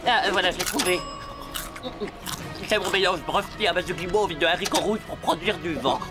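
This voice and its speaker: A man playing a hesitant, muddled, good-natured inventor sidekick in a children's animated adventure. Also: sits high, fairly quick, lightly acted. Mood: flustered. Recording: loud music underneath.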